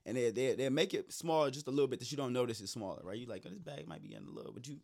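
A man in casual comedic chat whose voice is going hoarse, riffing loosely and cracking profane jokes. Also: medium pitch, big pitch swings, very fast, darkish tone. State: losing his voice.

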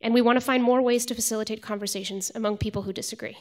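Woman giving a measured prepared reading. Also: on the high side, steady tone. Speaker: writer reading a piece at a public event. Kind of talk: reading a prepared essay aloud. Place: arts center event hall.

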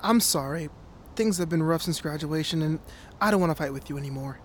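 A man plays an easygoing young soccer fan with a very high voice for a man. He speaks casually and naturally, like a boyfriend or buddy in an audio drama. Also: a little fast, a little hiss or hum, close to an everyday voice. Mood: apologetic, coaxing. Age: young adult.

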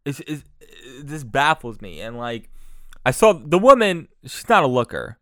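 A man's voice: mid-range voice, sing-song, unhurried, a bit bright, dry recording, clean studio sound. A young man, hosting his own podcast in a loose, profane, mocking solo rant full of restarts. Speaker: podcast host.

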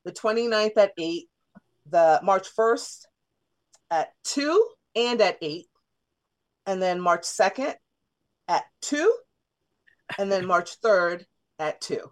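A woman's voice: medium pitch, animated, takes it slowly, pauses often. Chatty woman, radio interview guest. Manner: chatty, with many fillers and restarts. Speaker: theater artist and guest. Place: radio studio.

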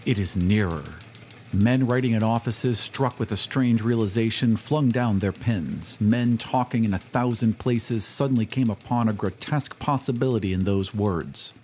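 A man's voice: mid-range voice, normal speed, hardly any pauses, darkish tone, a little hiss or hum. A man giving a dramatic scripted narration of a story, as in an audio drama. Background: music.

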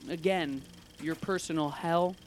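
A weary, sarcastic ruler of hell, voiced by a man, gives a dry guided tour in a slightly raised voice. The cartoon delivery is deadpan and unimpressed. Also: a little high, unhurried, a little hiss or hum, natural voice. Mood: sardonic.

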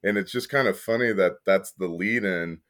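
A deep-voiced man from Kentucky, a podcast guest who leads an arts organization, talking thoughtfully with plenty of fillers and restarts. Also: moderate pace, fairly bright tone. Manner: thoughtful, many fillers and restarts, occasional laughs. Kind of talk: casual interview conversation.

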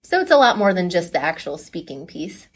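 A woman talking casually and enthusiastically as a coach being interviewed, chatty and quick to laugh. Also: on the low side, big pitch swings, slight background noise.

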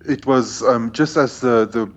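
A man's voice: medium pitch, unhurried, highs cut off. A man co-hosting a podcast, speaking hesitantly with many ums and restarts. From South Africa. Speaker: podcast co-host, academic.

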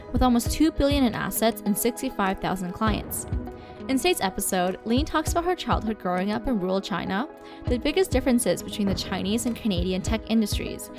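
A woman hosting a podcast, reading a prepared introduction in an upbeat, clear way. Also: mid-range voice. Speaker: podcast host. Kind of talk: scripted podcast intro and interview questions. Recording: voice over intro music.

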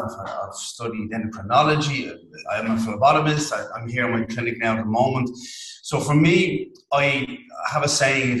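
A man's voice: mid-range voice, fairly bright tone, echoey room, poor audio. A man with an Irish accent, a fitness and nutrition coach, explaining his work plainly as a podcast guest from his clinic. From Ireland. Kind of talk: casual interview talk.

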